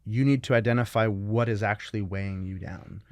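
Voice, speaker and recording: Low-voiced man in close, dry conversation. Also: fairly even intonation, brisk, a little mellow, no echo. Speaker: podcast co-host, recovery coach.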